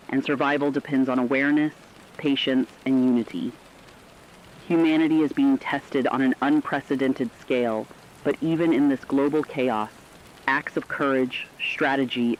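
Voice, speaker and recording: A man playing an earnest radio announcer, reading a scripted emergency broadcast in a measured manner. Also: mid-range voice, lightly acted. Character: earnest male radio announcer warning survivors of catastrophe. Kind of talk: scripted fictional radio news narration. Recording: dull lo-fi sound, rain-like noise.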